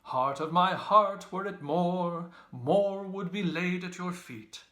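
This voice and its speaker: A man reading a novel aloud as a loud, mocking, theatrical young Irish medical student who booms and declaims. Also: slow, echoey room, heavily acted. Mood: mock-tender, theatrical. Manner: booming, declamatory, teasing. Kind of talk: literary audiobook character reading.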